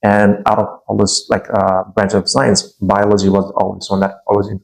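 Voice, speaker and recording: A man's voice: on the low side, monotone, normal speed, vocal fry, low-quality recording. A man in his thirties from Nepal, a native Nepali speaker, telling his story as a guest in a casual interview, with many fillers and restarts.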